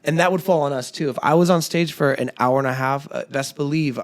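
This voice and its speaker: A man hosting a casual chat with his bandmates, rambling through stories with many fillers and restarts, his voice a little raspy. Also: fairly high pitch, fast. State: slightly raspy voice. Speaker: podcast host and band singer.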